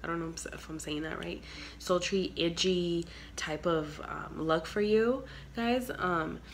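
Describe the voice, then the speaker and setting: A woman's voice: lowish voice, slow, a little hiss or hum. A young woman with a stuffy, congested nose chatting through a makeup tutorial, filler-heavy and trailing off into near whispers, filmed on a phone in a small bedroom. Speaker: beauty vlogger. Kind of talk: casual makeup tutorial chat. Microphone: phone camera at arm's length.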